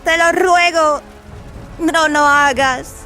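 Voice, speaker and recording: An actress playing an anguished female clone in an audio drama, pleading in drawn-out phrases. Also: high-pitched, fairly even intonation, pushed and bright, soft background music, heavily acted. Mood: desperate pleading. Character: pleading female clone replica, anguished, later hollow and obedient.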